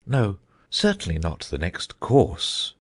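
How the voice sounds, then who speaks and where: A man voicing a solemn, dreamy old knight who rambles about his inventions, in a husky voice. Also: normal speed, heavily acted. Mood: musing. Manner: rambling, thoughtful, lively pitch swings.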